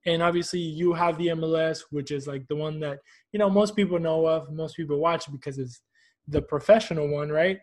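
A man's voice: a little high, steady tone, a little fast. A man from Houston hosting a casual, informal soccer interview show, chatting in friendly slang. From Houston, United States.

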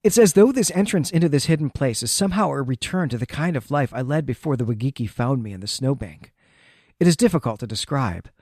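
A man's voice: medium pitch, animated, a little fast, a little mellow, no room sound, studio quality. A man hosting a podcast, giving an analytical, conversational recap of a story and repeating words as he thinks aloud. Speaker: podcast host. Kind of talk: conversational literary recap, partly reading quotes aloud.

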